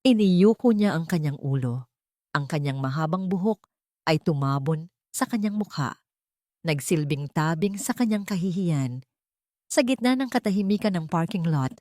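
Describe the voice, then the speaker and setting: A woman's voice: lowish voice, a little mellow, dry recording, studio quality. A woman with a Filipino accent giving a dramatic scripted narration of a story. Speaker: narrator of audio drama stories.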